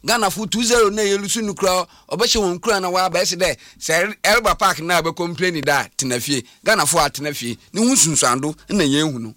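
A man's voice: high-pitched, quick pace, few pauses, pushed and bright, no echo. A man discussing football as a pundit, emphatic and repeating his points. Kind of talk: heated sports discussion.

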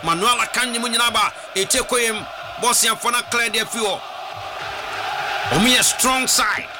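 A Ghanaian man calls a football match live on radio, shouting with high-pitched excitement. His voice rides over a loud stadium crowd and a noisy broadcast feed. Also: normal speed. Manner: shouting excitedly, mixing Twi and English. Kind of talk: live radio play-by-play with read-in ads.

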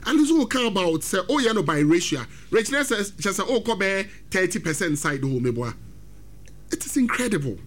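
A man with a Ghanaian accent hosting a football talk segment, animated and switching between English and Twi. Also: high-pitched, fairly quick. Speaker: sports radio host.

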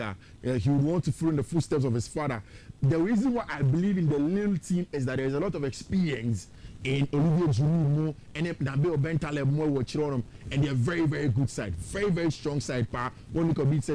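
A man's voice: a little high, dark tone, low-quality recording. A man with a Ghanaian accent giving opinionated, conversational sports preview commentary as a football pundit, slipping into local-language phrases.

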